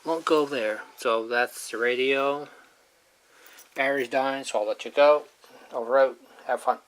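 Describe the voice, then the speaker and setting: A person talking casually and informally while showing hands-on work, rambling and trailing off mid-thought, with a medium-pitched voice. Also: unhurried. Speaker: hobbyist showing a radio repair. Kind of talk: casual hands-on video narration. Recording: clearly noisy amateur handheld recording.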